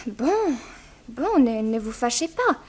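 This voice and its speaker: A woman's voice: on the high side, sing-song, slow, darkish tone, exaggerated voice. An actress playing a chatty, naive and inquisitive young woman in a French radio drama. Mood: uneasy. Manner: inquisitive, artless. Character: chatty, naive young working woman, curious then frightened.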